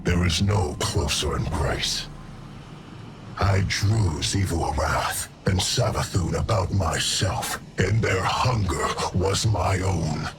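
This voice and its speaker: A male actor voicing a grim, proud alien god-warrior in a video game, with gravelly, solemn and archaic declamation. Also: low-pitched, takes it slowly, loud music behind the voice, heavily acted. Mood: proud, menacing. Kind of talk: video game voice acting, scripted monologue.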